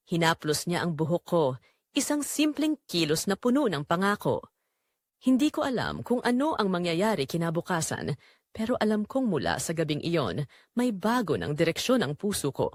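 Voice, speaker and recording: A woman with a Filipino accent reading a scripted first-person romantic drama as a story narrator, in a measured, dramatic storytelling manner. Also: lowish voice, dry recording, studio quality.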